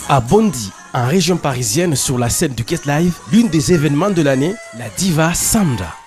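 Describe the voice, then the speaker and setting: TV narrator, scripted announcing, lively pitch, music behind. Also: normal speed.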